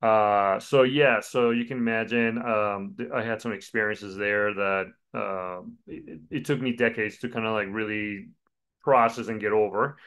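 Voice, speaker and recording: Podcast guest, Colombian-born pastor in his 40s, low smooth voice, relaxed. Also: normal speed. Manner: relaxed storytelling with many fillers. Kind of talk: podcast interview.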